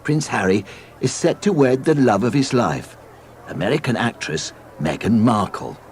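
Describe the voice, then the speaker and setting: A low male voice narrates a read-along storybook. His delivery is scripted and deadpan yet melodic, with clear noise in the background.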